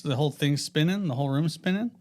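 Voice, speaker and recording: A man's voice: on the high side, quick pace, a little mellow. A man co-hosting a podcast in casual group banter, with a slangy, opinionated way of talking. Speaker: podcast co-host.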